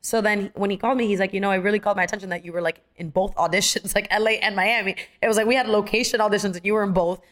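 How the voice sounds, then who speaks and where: A woman's voice: mid-range voice, racing, hardly any pauses. A young adult woman, an actress, telling stories in a casual interview and acting out the lines of people she quotes. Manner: animated, acts out quoted dialogue, many fillers. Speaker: actress guest.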